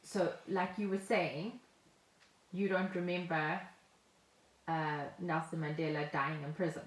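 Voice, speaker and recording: A woman's voice: sits low, steady tone, measured pace. A middle-aged South African woman co-hosting a podcast, explaining things in casual chat and sometimes reading from notes. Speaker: podcast co-host. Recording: roomy home podcast echo.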